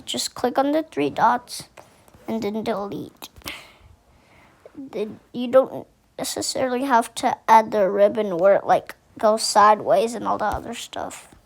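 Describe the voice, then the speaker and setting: Woman walking thru an app tutorial, kinda slow n halting, lots of vocal fry. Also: a little high. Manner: halting, repetitive, thinking aloud while demonstrating. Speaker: tutorial host. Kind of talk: casual screen-recorded how-to tutorial.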